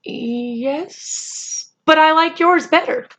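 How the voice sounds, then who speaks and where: Secretive storybook schoolboy played by a woman: lifted pitch, earnest, short evasive replies. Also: very slow, fairly bright tone, heavily acted. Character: guarded, earnest schoolboy keeping an exciting secret.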